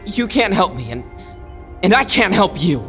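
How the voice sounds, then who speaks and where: A man playing a restless, defiant young man in an audio drama, speaking in a halting, emotional way. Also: high voice, sing-song, music in the background. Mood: bitter, accusing.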